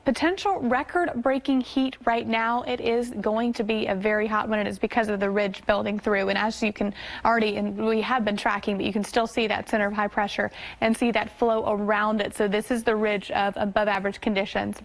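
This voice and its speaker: A woman's voice: mid-range voice, fairly even intonation, a little fast. A woman presenting a live television weather forecast.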